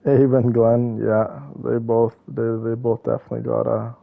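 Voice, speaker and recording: A man streaming a game and chatting with his viewers. His mid-pitched voice is creaky with fry, and he rambles through opinions in casual slang. The sound is a little dull. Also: flat delivery, moderate pace. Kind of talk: casual chat with viewers while playing.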